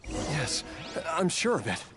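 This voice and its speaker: A man's voice: on the high side, sing-song, slow, a bit bright, faint music behind, heavily acted. A man playing a troubled but determined young character in a video game, speaking in a hesitant, earnest way. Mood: pensive.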